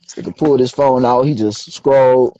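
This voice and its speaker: Laid-back young rapper, dark muffled voice. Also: male voice, mid-range voice, brisk, no echo. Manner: laid-back, slangy storytelling. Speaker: interviewee, rapper. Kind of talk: casual interview chat.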